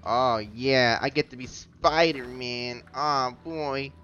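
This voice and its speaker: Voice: medium pitch, steady tone, slow, a bit bright, muffled recording. A video game streamer giving casual, slangy, playful reactions while playing. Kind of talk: casual gameplay commentary. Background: game music.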